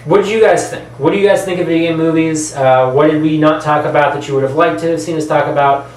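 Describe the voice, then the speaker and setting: A man's voice: a little high, fairly even intonation, quick pace. A man hosting a video channel in casual chat, rambling and informal, sometimes trailing off. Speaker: host of a video channel about films and games. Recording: roomy echo, distant mic, faint noise.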